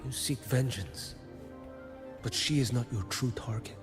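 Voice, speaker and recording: An actor playing a blind, watchful bodyguard in a video game, speaking in a measured, cryptic and formal way. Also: male voice, medium pitch, lightly acted. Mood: solemn, probing. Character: blind, solemn watchful male bodyguard and investigator. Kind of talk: scripted video game dialogue. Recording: long reverb, music underneath. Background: game music.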